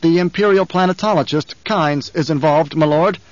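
A man voicing a nervous, flustered male guard captain who answers in a hurried, deferential way. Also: fairly high pitch, no echo, pitched above the performer's own voice, lightly acted. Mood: anxious. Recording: dull, dry studio recording.

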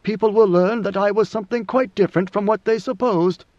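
A man voicing a grave, ancient god-emperor in a storybook reading, with slow, solemn, oracular pronouncements. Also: sits high, steady tone, a little mellow, no room sound, lo-fi sound, voice pushed up, close to an everyday voice. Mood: solemn, prophetic.

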